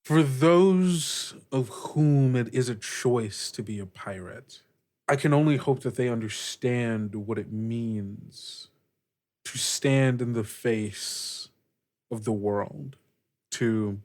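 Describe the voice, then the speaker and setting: A man plays a female pirate captain in a tabletop adventure. She speaks slowly and gravely, weighing each formal phrase with a thoughtful, solemn air. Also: mid-range voice, lightly acted. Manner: measured, solemn, formal wording.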